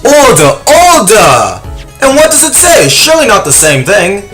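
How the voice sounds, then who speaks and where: Man doing an elderly male judge, skeptical, flustered, self-important, animated pitch, game courtroom fandub, loud music. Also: measured pace, full character voice. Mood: astonished. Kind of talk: dramatic dialogue in a video game fandub. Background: prominent music.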